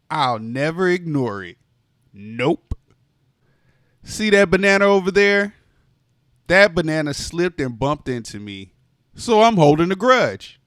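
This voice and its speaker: Slow, lively-voiced man reading aloud. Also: on the high side, no echo, clean studio sound. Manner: expressive, playful, drawn-out delivery. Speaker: storytime reader. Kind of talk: children's book read-aloud.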